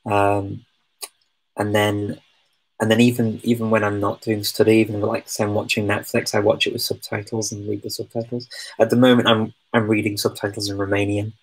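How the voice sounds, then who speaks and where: A man with a low, gravelly voice answers viewer questions in a relaxed live chat. He hesitates a lot, restarting his sentences and filling the gaps with soft ums. His tone stays husky and modest throughout. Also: normal speed, low-quality recording. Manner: hesitant, many ums and restarts, self-deprecating. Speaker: language-learning streamer answering viewer questions.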